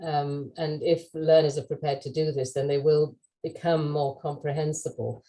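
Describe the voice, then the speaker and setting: Low, mellow British woman speaker. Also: fairly even intonation, low-quality recording. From United Kingdom. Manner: measured, many "um"s, conversational. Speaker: webinar speaker, language expert. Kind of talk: answering audience questions.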